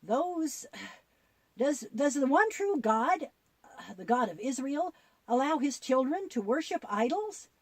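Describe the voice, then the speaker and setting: A woman presenting a children's Bible show, telling stories in an expressive, instructive way as dialogue with a puppet. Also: high voice, slow pace, no echo.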